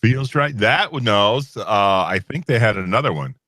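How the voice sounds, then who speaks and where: A man's voice: lowish voice, lively intonation, normal speed, bright, forceful tone, no echo, studio quality. A man co-hosting a casual live chat show, playful and self-deprecating as he guesses along in a music quiz.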